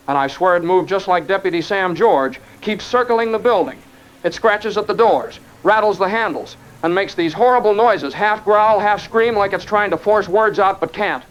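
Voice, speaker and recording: A man's voice: high voice, lightly acted. A man playing a shaken but rational caller, giving an earnest, tense eyewitness account in a radio drama. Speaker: phone-in caller. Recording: dull, band-limited phone-in sound.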